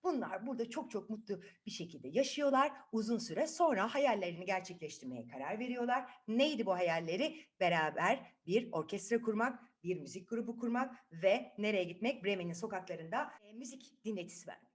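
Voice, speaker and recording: A woman's voice: mid-range voice, brisk, few pauses. A woman telling a children's story expressively, often putting questions to her listeners and acting out what happens. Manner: expressive, asks the listeners frequent questions, acts out events.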